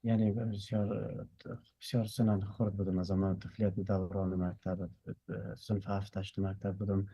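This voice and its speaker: Fast-talking young man, dull lo-fi sound. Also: on the low side, flat delivery, creaky, warm tone, breathy edge, dry recording. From Afghanistan. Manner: hesitant, many fillers. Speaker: fighter. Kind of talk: interview answers. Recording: dull lo-fi audio.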